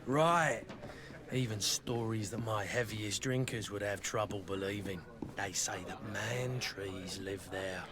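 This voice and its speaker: An actor playing a talkative, world-weary tavern keeper in a fantasy game, with a folksy storytelling manner. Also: male voice, fairly low pitch, unhurried, crowd noise, lightly acted. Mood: wry, storytelling. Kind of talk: video game dialogue acting.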